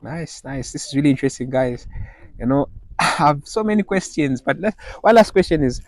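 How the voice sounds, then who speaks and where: A man's voice: fairly high pitch, expressive, brisk. A man with a Zambian accent chatting casually as an interviewer, curious and echoing answers back. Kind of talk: casual chat.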